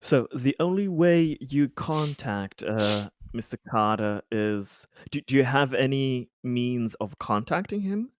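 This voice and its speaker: A man playing a stern colony leader in a tabletop game, questioning a prisoner in a formal, halting, threatening manner. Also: mid-range voice, expressive, dry recording. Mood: probing.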